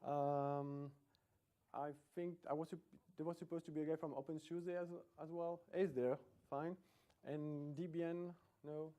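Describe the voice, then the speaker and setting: A man's voice: fairly high pitch, fairly even intonation, measured pace, warm tone. A middle-aged man from Belgium with a Belgian accent, giving a chatty, self-deprecating conference talk in a lecture room, often restarting his sentences. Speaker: conference speaker.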